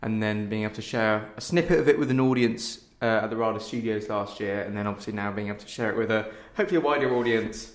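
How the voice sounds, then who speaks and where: A man's voice: fairly low pitch, quick pace, few pauses. A young man, a theatre director, chatting casually as an interview guest with wry self-deprecation in a roomy space. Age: young adult.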